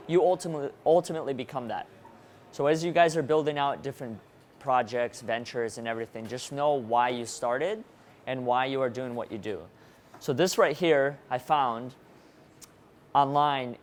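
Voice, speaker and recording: A man's voice: medium pitch, a little hiss or hum. A man born in Russia giving a motivational presentation to a live audience, engaging the listeners with questions. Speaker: motivational speaker.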